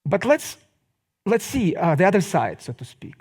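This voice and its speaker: A middle-aged man lectures to the public in Russian-accented English. His voice sits a bit higher than most men's. He phrases things deliberately, pausing for effect and adding wry remarks. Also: sing-song, a little mellow, slightly rough. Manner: deliberate phrasing with dramatic pauses and wry asides.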